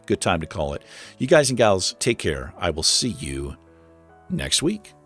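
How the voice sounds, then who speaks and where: Gravelly low-voiced man narrating gameplay. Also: a little slow, soft background music. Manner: relaxed, thinking aloud with many fillers. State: losing his voice. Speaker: gaming channel host. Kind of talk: casual gameplay commentary.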